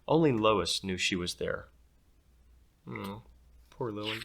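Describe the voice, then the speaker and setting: A man reading a children's story aloud in an expressive, playful way. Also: on the low side, takes it slowly, gravelly, a little hiss or hum. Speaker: storybook reader.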